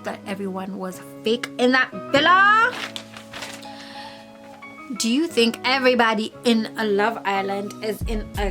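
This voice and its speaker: Slow-talking sassy woman vlogger. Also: fairly high pitch. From Kenya. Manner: animated, sassy, acts out quotes in voices. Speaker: reality TV reviewer. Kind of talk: casual vlog review.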